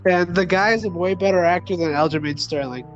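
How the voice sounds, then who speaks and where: A man's voice: high-pitched, fairly quick. A man co-hosting a sports podcast with blunt, slangy casual commentary and free swearing. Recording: muffled lo-fi audio, faint music.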